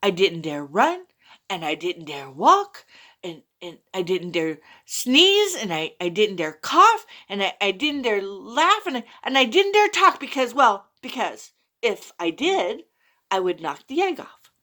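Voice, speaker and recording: A woman's voice: medium pitch, sing-song, measured pace, sharp tone, voice pushed down. A woman voicing a nervous schoolboy who stammers through a long excuse. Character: stuttering schoolboy making up excuses.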